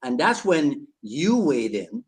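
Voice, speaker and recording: A man from Barbados, hosting a casual live-stream chat, jokey and quick to laugh, often repeating words as he goes. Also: a little high, sing-song, darkish tone. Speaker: podcast host.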